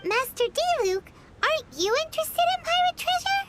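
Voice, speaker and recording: A woman voicing a small, excitable, chirpy fairy companion who talks bossily in an anime-style video game. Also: very high-pitched, moderate pace, heavy background noise. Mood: exasperated. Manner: chirpy, bossy, exasperated. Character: small excitable childlike floating fairy companion, female, talks in third person. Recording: studio voice under game music.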